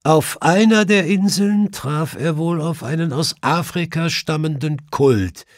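A man's voice: on the high side, unhurried, no echo, clean studio sound, pitched above the performer's own voice, natural voice. A man voicing a clever, self-assured male detective who explains things precisely in an audiobook. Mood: assured explaining. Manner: precise, explanatory, faintly mischievous.

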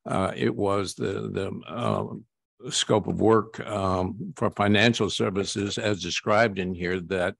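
An American man speaking haltingly as a board director at a formal public meeting. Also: fairly low pitch, steady tone, creaky, husky, dry recording. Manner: halting, hesitant delivery.

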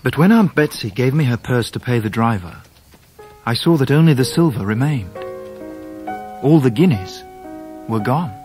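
An actor playing a grown English gentleman narrating his own youth in a measured, literary radio drama style. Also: medium pitch, lively intonation, music in the background, lightly acted. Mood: reflective. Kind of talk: scripted first-person drama narration.

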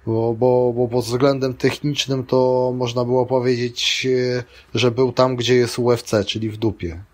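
A man's voice: medium pitch, level tone, measured pace. A middle-aged man, a grappling athlete and coach, talking in a casual interview with many restarts and fillers.

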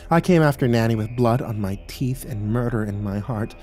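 A man's voice: mid-range voice, normal speed, mellow, faint music behind, lightly acted. Man voicing a monstrous woman relishing her tale. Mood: cold satisfaction. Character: murderous monstrous woman savoring her grim childhood tale.

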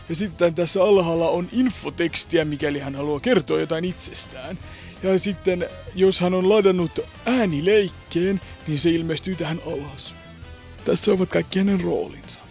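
Male presenter, higher register, steady explanatory website walkthrough over soft music. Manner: plain explanatory, trailing off softly. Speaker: fandub group member presenting its website.

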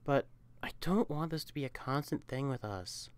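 A woman's voice: extremely low pitch, lively intonation, moderate pace, darkish tone, clean tone, no room sound. A woman voicing an affectionate, protective young catperson comforting a lover in a roleplay audio, tender, coaxing and emphatic. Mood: tender, earnest.

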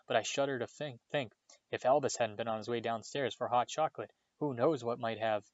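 A man reading as a stern, brisk older woman professor in a storybook, concerned and quick to ask questions. He keeps close to his own middle voice. The audio is slightly dull and dry. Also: mid-range voice, no room sound, close to an everyday voice.

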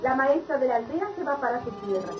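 A devoted village mother speaks high, with a Mexican accent and earnest radio soap delivery. The old recording is muffled and a little noisy. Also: animated, fast. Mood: tender, hopeful.

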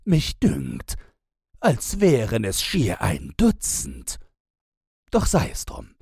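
A plucky storybook soldier acted by a male reader, jaunty and incredulous but game for a dare. His husky voice moves up and down in a lively way, raised a little over a low base. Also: slow, no room sound, clean studio sound, full character voice. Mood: skeptical, game. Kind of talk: fairy-tale audiobook character reading.

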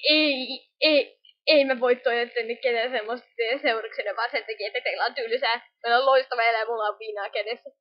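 Cartoon fandub: grumpy, complacent old god played by a woman, high-pitched, exasperated, muffled lo-fi sound. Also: steady tone, natural voice.

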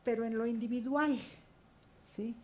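A woman's voice: fairly high pitch, fairly even intonation, measured pace, a little mellow, slight background noise. A woman panelist speaking emphatically, checking in with rhetorical questions. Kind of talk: academic panel talk. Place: university auditorium panel.

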